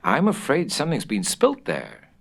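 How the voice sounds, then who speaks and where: A man's voice: mid-range voice, huge pitch swings, moderate pace, gravelly, fairly bright tone, breathy edge, voice pushed up, exaggerated voice. A man voicing a fussy, imperious American wife and mother in a storybook, exclaiming and decisive. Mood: fussy, disapproving.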